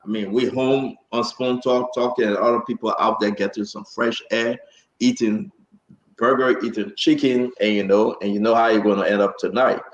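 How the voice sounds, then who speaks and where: A man's voice: on the low side, steady tone, moderate pace, dull audio. A man hosting a casual live talk show, often repeating phrases for emphasis. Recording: roomy, echoing sound.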